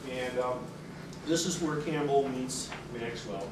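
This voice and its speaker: A man's voice: mid-range voice, slow pace. Man lecturing in a very echoey room. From native English speaker. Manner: conversational, many fillers and restarts, reads quotes aloud with rising energy. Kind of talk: public lecture. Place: carpeted seminar room with audience. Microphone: room mic, away from the speaker at a lectern. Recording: very echoey room from far off, with noise.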